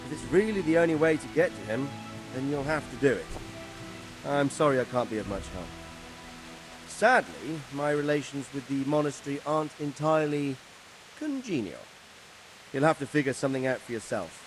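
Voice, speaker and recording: A man's voice: mid-range voice, animated, normal speed, soft background music, lightly acted, low-quality recording. An actor playing a middle-aged official in a game, formal and considered as he advises a younger man. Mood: grave, considered. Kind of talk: video game cutscene acting.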